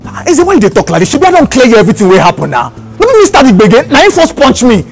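A man's voice: high-pitched, huge pitch swings, racing, vocal fry, faint music behind, heavily acted. An actor playing a touchy, indignant boyfriend with a Nigerian accent, defending himself to his friends. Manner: indignant, protesting. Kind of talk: film dialogue in Pidgin and English.

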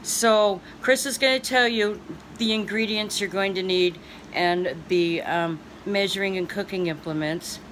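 An older woman filming a home cooking video on her phone, adding slow asides and chuckling now and then. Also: mid-range voice, steady tone, fairly bright tone, slight background noise, low-quality recording. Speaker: co-host filming. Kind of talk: casual cooking demo. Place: small home kitchen. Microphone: handheld phone.

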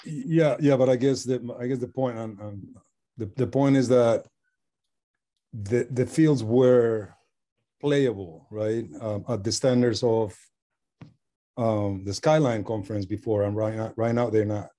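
Mellow, hesitant man. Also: medium pitch, fairly even intonation, unhurried. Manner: hesitant, repeats words. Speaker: meeting participant. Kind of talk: meeting discussion. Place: video conference meeting.

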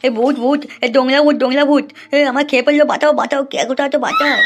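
Woman voicing a fast-talking, cheeky cartoon grandson. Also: high-pitched, flat delivery, shouting, noisy background. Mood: terrified. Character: cheeky, foul-mouthed young grandson, easily frightened. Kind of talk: comic cartoon dubbing in colloquial Bengali.

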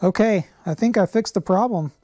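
A middle-aged man hosting a how-to repair video in his workshop garage, talking in a relaxed, explanatory way with lots of "uh" and "um," on a lapel mic. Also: high-pitched, moderate pace. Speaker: channel host.

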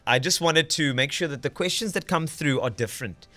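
A man in his thirties with a South African accent, hosting a radio show with animated, teasing banter from a studio mic. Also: fairly high pitch, fairly quick. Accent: South African English. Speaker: radio host. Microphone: studio mic with headphones.

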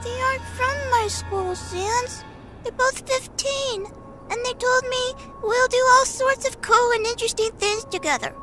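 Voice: extremely high pitch, unhurried, music in the background. A performer voicing an earnest eight-year-old skeleton little brother in a comic dub, childlike, stammering and emotional. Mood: pleading. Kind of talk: dramatic comic dub acting.

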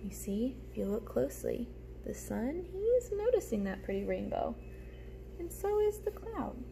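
A young adult woman reading a storybook aloud to children like a teacher, with animated, explanatory asides, recorded in a room at home. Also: fairly high pitch, a little slow. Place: small room at home, indoors. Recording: clear background noise, room ambience.

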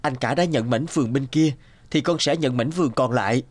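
An actor playing a humble, dutiful young peasant son in an animated folk tale, mild and sincere, with music behind. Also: male voice, mid-range voice, a little fast, close to an everyday voice. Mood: earnest, polite.

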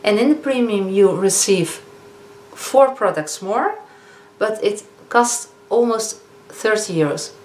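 A woman in her fifties with a Dutch accent, chatting casually as a beauty vlogger reviewing products, often pausing on fillers and correcting herself. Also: mid-range voice, a little mellow. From Netherlands. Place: living room, indoors. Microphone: camera in front of a seated speaker. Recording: echoey room with faint hiss.

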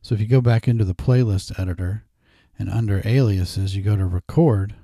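A man hosting a casual screen-recorded software tutorial, explaining each step informally. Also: fairly low pitch, a little fast, dry recording, studio quality. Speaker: tutorial host. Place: indoors at a desk.

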